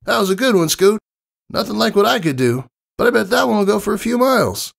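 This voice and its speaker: Guy doing a brash young girl pegasus, cocky n friendly, voice pitched way up, storybook reading. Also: expressive, quick pace, gravelly, full character voice. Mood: playful bravado. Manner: cocky, friendly, casual slangy talk. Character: brash, confident young female pegasus pony, playful mentor. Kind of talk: character voice in fan fiction reading.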